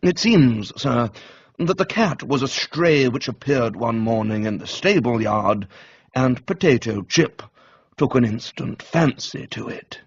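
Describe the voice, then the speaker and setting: A man playing a composed, deferential valet who speaks in measured, formal, precise phrases in a radio comedy reading. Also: mid-range voice, lively intonation, husky, dry recording, muffled recording. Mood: calmly explanatory.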